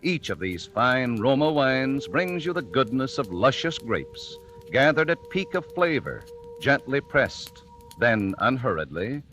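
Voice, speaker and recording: An American man reading a 1940s radio sponsor advertisement with polished, measured salesmanship. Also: mid-range voice, fairly bright tone. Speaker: sponsor announcer. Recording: vintage 1940s radio broadcast with music.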